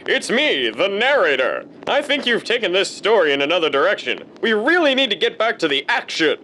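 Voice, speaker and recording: A man's voice: sits high, big pitch swings, brisk, sharp tone, slight background noise, full character voice. A man playing an exasperated, self-important narrator in a kids' show parody, scolding and fretful.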